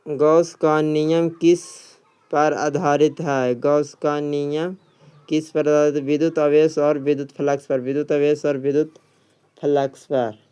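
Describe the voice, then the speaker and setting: Flat-toned man reading quiz questions. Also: fairly high pitch, a little slow, smooth. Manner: instructional, often repeats questions and answers. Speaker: exam coach.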